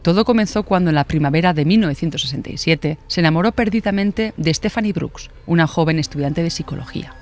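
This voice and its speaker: A woman's voice: lowish voice, fast talker, few pauses. A woman with a Castilian accent, hosting and narrating a scripted true-crime podcast. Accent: Castilian Spanish.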